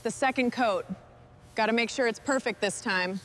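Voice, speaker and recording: A woman's voice: a little high, normal speed, over music. A woman from a restoration crew talking in short, terse workshop remarks.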